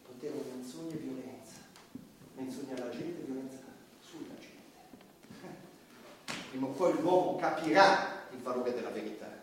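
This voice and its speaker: An actor playing a defiant, impassioned satirical comedian on trial, emphatic and repetitive in a theatrical stage style. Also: male voice, medium pitch, a little slow, close to an everyday voice. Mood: bitter, resolute. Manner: emphatic, repetitive, preaching against hypocrisy and power. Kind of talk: theatrical stage acting, fiery monologue. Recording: echoey distant stage recording, faint noise.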